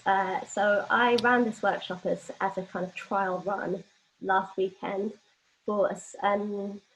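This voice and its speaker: A young woman leading an informal online workshop, explaining things in a hesitant way with pauses mid-phrase. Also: mid-range voice. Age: young adult. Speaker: workshop leader. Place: online video call from home. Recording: dull lo-fi online call audio.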